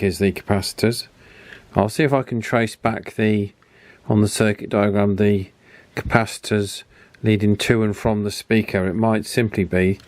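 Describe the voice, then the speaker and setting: A man giving a casual hands-on repair demonstration as host, unhurried and thinking aloud through each step. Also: lowish voice, a little mellow, dry recording. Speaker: host of a radio repair channel.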